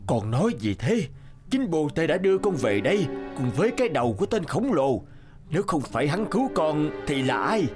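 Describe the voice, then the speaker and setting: A man's voice: fairly high pitch, big pitch swings, a little fast. A man voicing an authoritative, stubborn old king in a fairy-tale cartoon, speaking imperiously. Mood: stern. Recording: music under the voice.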